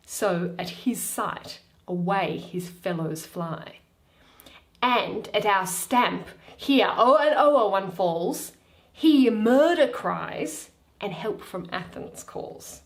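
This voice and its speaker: A woman's voice: medium pitch, expressive, takes it slowly. A woman giving a theatrical solo reading of a Shakespeare play, announcing each character before the lines. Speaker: reader of a play, channel host.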